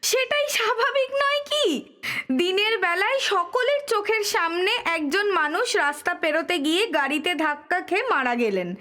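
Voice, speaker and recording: An actress playing a stern, defensive school principal in an audio drama, formal and crisp in her manner. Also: extremely high pitch, expressive, moderate pace, bright, forceful tone, exaggerated voice. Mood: reproachful. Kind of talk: Bengali audio drama acting.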